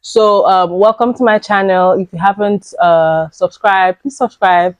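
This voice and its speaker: A young woman with a raspy voice, chatting casually to camera about books she bought, rambling with fillers. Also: medium pitch, steady tone, a little slow, no room sound, muffled recording. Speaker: book vlogger.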